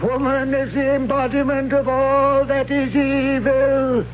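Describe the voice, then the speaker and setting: High-pitched, stern old-time radio priest. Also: male voice, level tone, phone-quality sound, a little hiss or hum. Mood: stern, solemn. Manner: slow, solemn, commanding recitation. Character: stern high priest, father of a hapless son. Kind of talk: 1970s radio drama acting. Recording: band-limited old radio broadcast, music bed.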